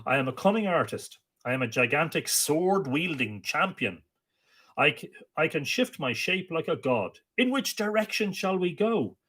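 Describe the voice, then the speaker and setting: A man's voice: a little high, very animated, normal speed, fairly bright tone, husky, pitched above the performer's own voice, heavily acted. A man reciting the boastful chant of an ancient male druid poet, in a declaiming, incantatory way.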